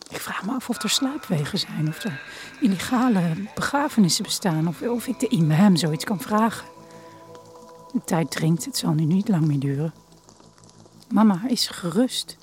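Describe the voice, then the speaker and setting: An actress playing a grieving daughter who narrates an audio drama in a measured, intimate, solemn way. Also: female voice, fairly low pitch, darkish tone, slight background noise, lightly acted. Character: grieving daughter narrating her dying mother's last days. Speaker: narrator actor. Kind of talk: literary first-person audio drama narration.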